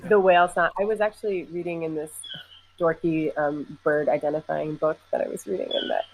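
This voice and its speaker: A woman's voice: sits low, fairly even intonation, brisk, rough recording. A young adult woman musician in casual conversation, talking loosely with many "like" fillers and easy laughter. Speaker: singer and musician.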